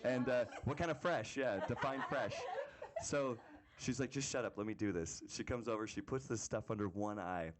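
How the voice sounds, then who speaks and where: A man telling a funny story as a guest on a radio talk show, animated and laughing as he talks. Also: medium pitch, moderate pace, very noisy.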